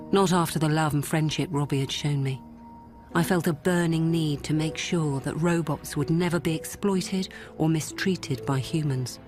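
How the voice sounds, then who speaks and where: A woman playing an earnest lawyer who narrates her life in a radio drama in a measured, reflective way. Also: low-pitched, lightly acted.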